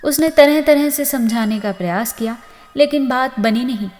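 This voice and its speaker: A woman narrating a scripted moral story as host, with an expressive storytelling delivery. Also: sits high, normal speed. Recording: long reverb on the voice.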